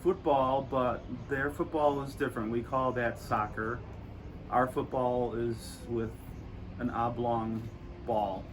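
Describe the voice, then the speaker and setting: Midwest american guy, chatty student, mid-pitch voice, faint hiss behind. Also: fairly even intonation, takes it slowly. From Chicago, US Midwest. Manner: easygoing, chatty, joking. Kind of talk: casual chat.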